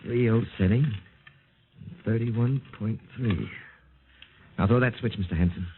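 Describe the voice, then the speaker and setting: An actor playing a calm, clinical doctor who speaks in a measured, authoritative way, in a 1950s radio drama acting style, heard through a tinny old radio broadcast. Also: male voice, fairly low pitch, slight background noise. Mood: hypnotic. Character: calm, clinical middle-aged male doctor.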